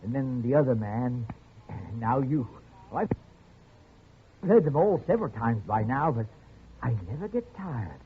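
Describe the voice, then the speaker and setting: An actor playing an eager, gently obsessive old man in a 1940s radio drama, stammering and repeating himself. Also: mid-range voice, animated, a little slow, pauses often, lightly acted. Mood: fond, wistful. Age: older. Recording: muffled, noisy old radio broadcast.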